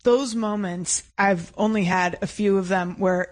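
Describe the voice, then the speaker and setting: A woman chatting casually as a guest on a radio show, self-deprecating and quick to laugh. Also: medium pitch, fairly even intonation. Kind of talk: casual chat.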